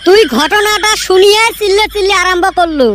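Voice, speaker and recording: A woman voicing a cheeky, mocking son in a village cartoon, with a Rajbongshi Bengali accent and an artificially raised pitch. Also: a little fast, a bit bright, a little hiss or hum. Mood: reproachful. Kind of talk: comic cartoon dialogue. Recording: music behind voice.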